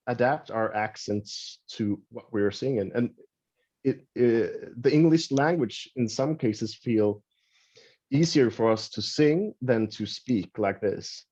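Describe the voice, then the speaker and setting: Mellow Swedish-accented man on a dull line. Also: on the low side, dry recording. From Sweden. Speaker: band member. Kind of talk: casual interview over a call.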